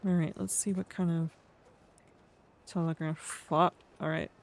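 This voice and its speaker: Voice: mid-range voice, level tone, unhurried, gravelly. A game streamer with a speech impediment, talking casually and reading aloud during roleplay, often tongue-tied and stumbling over words. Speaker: streamer playing a roleplay character.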